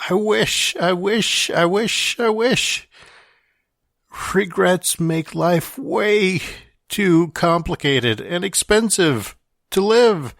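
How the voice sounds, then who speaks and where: A man's voice: sits high, expressive, drawn-out words, vocal fry, a bit bright, dry recording, clean studio sound, heavily acted. A man playing a wry, confessional dying man reading out his farewell letter in an audio drama. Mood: wistful regret. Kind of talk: dramatic monologue in fiction podcast.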